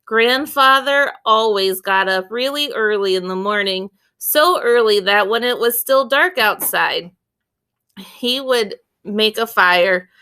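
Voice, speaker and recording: A woman reading a children's book aloud as an expressive storyteller, with deliberate, clear phrasing. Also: mid-range voice.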